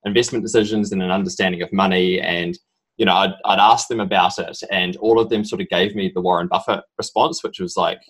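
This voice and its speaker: A young man from New Zealand with a strong New Zealand accent, a podcast guest telling his story in a halting, rambling way full of ums. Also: low voice, steady tone, a bit bright, breathy edge. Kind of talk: casual interview talk.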